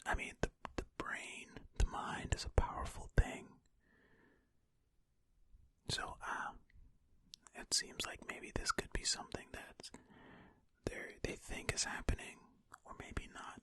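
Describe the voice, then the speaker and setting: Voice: very high voice, expressive, a little slow, bright, forceful tone. An ASMR host whispering ear to ear in a tentative, musing way, reading and commenting close to the microphone. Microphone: close stereo ASMR microphone.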